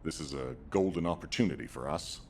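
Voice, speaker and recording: A grave male commander in a video game. His voice is very deep and gravelly. He speaks pragmatically, over a faint noise. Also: a little fast. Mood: grave, resolute. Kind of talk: scripted game dialogue.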